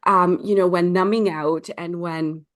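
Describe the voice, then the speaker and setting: A woman in her forties with a lowish voice talks as a podcast guest. She sounds earnest and reflective. She speaks with a grateful warmth about her own path. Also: steady tone, measured pace. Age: 40s. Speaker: recovery coach, guest. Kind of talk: casual interview chat.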